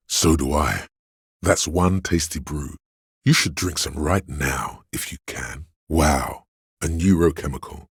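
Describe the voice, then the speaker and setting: An extremely deep, gravel-rough tempter for a video game, recorded close and dry. This is addiction itself as an inner voice, gleeful and seductive. The delivery is sly and theatrical, insinuating and goading toward every indulgence. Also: male voice, dry recording, exaggerated voice. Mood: teasing, greedy. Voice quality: very deep, husky. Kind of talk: video game character voice lines.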